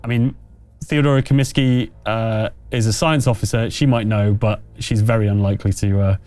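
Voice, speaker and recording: A man running a tabletop game as game master, narrating off the cuff in a hesitant, halting way. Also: on the low side, steady tone, darkish tone. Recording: music behind the voice.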